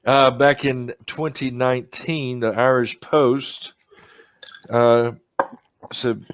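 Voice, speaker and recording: A man's voice: mid-range voice, level tone, slow, slight background noise. A middle-aged man hosting a podcast, chatting casually and laughing often as he tells stories. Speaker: podcast host. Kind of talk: casual chat.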